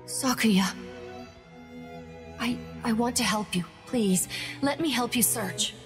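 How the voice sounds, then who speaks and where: A woman's voice: fairly high pitch, slow pace. An actress playing a polite, remorseful young woman soldier in a game dub, fragile but resolute, with an earnest formal manner. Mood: pleading. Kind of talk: anime game English dub acting. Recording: reverberant, music behind.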